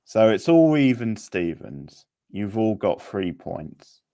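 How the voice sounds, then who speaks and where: A man's voice: on the low side, sing-song, slow, warm tone. A man hosting a live-streamed quiz in an informal, chatty way, reacting to players' answers. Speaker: quiz host.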